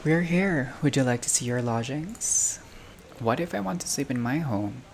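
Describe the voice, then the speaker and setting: A gamer reading visual novel text aloud in a halting way, breaking off for casual asides and reactions. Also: medium pitch, expressive, darkish tone. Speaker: gaming video creator playing a visual novel. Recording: clearly noisy home recording, unstable audio.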